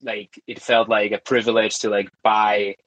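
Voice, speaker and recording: A young man speaks as an interview guest in a fairly low voice. His talk is loose and conversational, full of fillers and quick restarts. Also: rough recording. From Argentina. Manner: relaxed, talkative, frequent fillers and restarts. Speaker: guest, tech founder.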